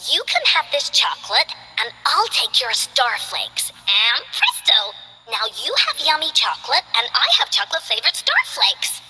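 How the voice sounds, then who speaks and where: A woman voicing a lonely, dreamy little girl who is playful and curious, speaking in a soft, wistful, childlike way in game character voice lines. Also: high-pitched, slightly rough, long reverb tail, heavily acted, poor audio.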